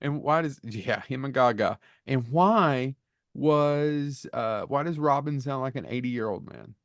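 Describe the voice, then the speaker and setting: A man's voice: medium pitch, unhurried, dry recording, studio quality. A man co-hosting a podcast, chatting casually and cutting in with wisecracks. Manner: wisecracking, interjecting. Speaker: podcast co-host. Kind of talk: casual comedic chat.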